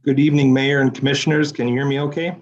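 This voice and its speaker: A man with a deep voice, a citizens council representative, giving a short report over a remote call. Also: flat delivery, fast, darkish tone, highs cut off.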